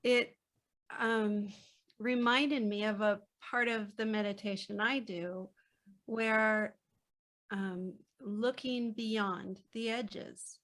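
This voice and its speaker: A woman speaking as a podcast guest in a reflective conversation, gentle, unhurried and reassuring. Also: mid-range voice, steady tone. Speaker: podcast guest, children's book author.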